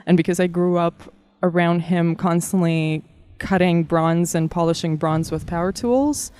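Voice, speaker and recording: A woman in her thirties from Kazakhstan, telling animated stories about her childhood as a guest in an interview. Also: on the low side, unhurried, smooth, no room sound. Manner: warm, animated storyteller with frequent laughs and fillers. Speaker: guest artist. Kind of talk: casual interview storytelling.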